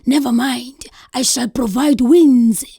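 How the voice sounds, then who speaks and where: An actress playing a soothing, cautious wind spirit in children's audio theatre, with a breathy, whispery voice. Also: female voice, sits high, fairly even intonation, takes it slowly, mellow, no echo, clean studio sound, heavily acted. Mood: cautioning, soothing.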